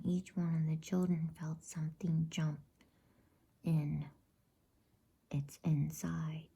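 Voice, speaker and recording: Female ASMR story narrator: slow, soothing whisper with a dark, gravelly grain. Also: low voice. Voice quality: breathy whisper. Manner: hushed, unhurried, soothing. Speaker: bedtime story reader.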